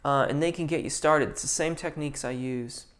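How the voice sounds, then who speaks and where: A man who is a vocal coach, answering viewers' questions in a relaxed, chatty live stream with lots of ums and restarts. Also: a little high, brisk.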